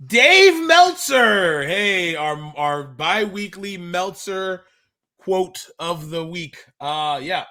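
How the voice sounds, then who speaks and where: Male podcast co-host, 30s. Slightly high, sharp forward voice, loose and slangy sports-insider delivery. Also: lively intonation, drawn-out words. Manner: informal, slangy, talks like a wrestling insider. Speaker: co-host of a pro wrestling podcast; active pro wrestler. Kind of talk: casual podcast chat and commentary.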